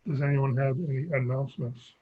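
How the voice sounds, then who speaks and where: A man with a fading, husky voice chairs a town sewer commission meeting over a video call, stumbling through a scripted opening. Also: medium pitch, level tone, brisk, a little hiss or hum. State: losing his voice. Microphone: remote video call. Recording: dull lo-fi call audio.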